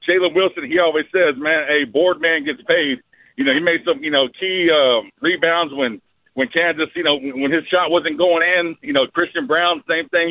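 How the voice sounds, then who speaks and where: Male sports-fan caller, slangy and enthusiastic, slightly high voice, muffled phone connection. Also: no room sound. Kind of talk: casual fan chat. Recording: muffled, narrow phone line.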